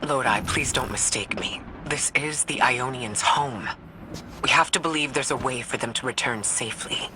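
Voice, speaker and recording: Someone voicing serious earnest scifi game advisor, measured formal talk, middle pitch, noisy ambience behind. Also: natural voice. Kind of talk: video game dialogue acting.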